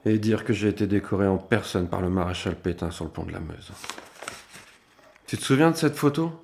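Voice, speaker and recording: A man's voice: low voice, a little fast, gravelly, darkish tone, slight background noise. A man playing a proud, stubborn, patriotic peasant father in an audio drama. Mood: proud, torn. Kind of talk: French audio drama acting.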